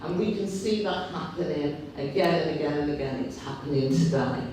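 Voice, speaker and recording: Male union speaker, big loud voice, impassioned and repetitive, heard far off in a very echoey hall with faint noise. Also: on the high side, fairly even intonation, normal speed. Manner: impassioned, repetitive rhetorical buildup. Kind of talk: rally speech at a union fringe meeting. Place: meeting hall. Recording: very echoey hall, picked up from afar.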